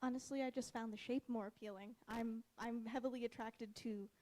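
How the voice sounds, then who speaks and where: A woman's voice: on the high side, fairly even intonation, fairly quick, warm tone, low-quality recording. A young woman presenting her student design and answering questions in an earnest, accommodating way.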